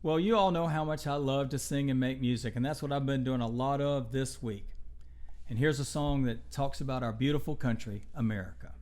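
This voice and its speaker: A man hosting a children's show, telling stories in an unhurried, expressive way. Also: medium pitch. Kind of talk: storybook read-aloud and talk to children.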